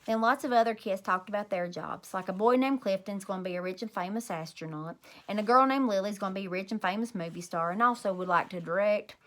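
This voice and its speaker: A woman reading a children's story aloud in an expressive, emphatic way, like a teacher. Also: mid-range voice, fast talker, hardly any pauses. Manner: animated, emphatic.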